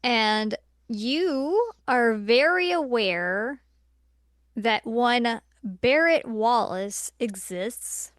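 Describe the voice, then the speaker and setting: Female podcast co-host, smooth clear voice, dry close sound, blunt and exasperated casual opinions. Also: a little high, expressive, extremely slow, no echo. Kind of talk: casual opinionated film discussion.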